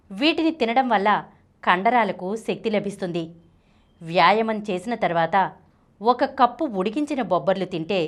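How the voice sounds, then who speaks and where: A woman reading scripted health information as a channel narrator in a measured, clearly read-out way. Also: medium pitch. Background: background music.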